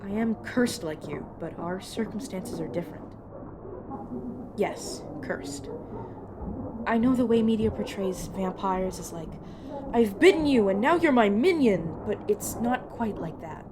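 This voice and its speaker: A woman's voice: medium pitch, lively intonation, measured pace, lightly acted. A woman playing a weary, apologetic supernatural agent in an audio roleplay, explaining things patiently with hesitant, casual asides. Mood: explaining, earnest. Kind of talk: narrative audio roleplay acting, addressed to listener. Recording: clearly noisy, background ambience effects.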